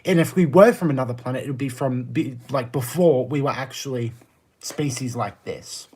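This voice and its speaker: A man's voice: mid-range voice, normal speed, warm tone, slightly rough. A man with an Australian accent chatting casually on a livestream, answering viewer comments in a blunt, exasperated, emphatic way. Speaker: live streamer.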